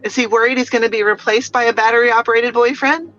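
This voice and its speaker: Woman, doctor, bright tense tone, frank, encouraging, filler-heavy, dull video call audio, faint music. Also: on the high side, steady tone, quick pace. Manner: frank and encouraging, many "you know" fillers. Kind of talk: casual chat.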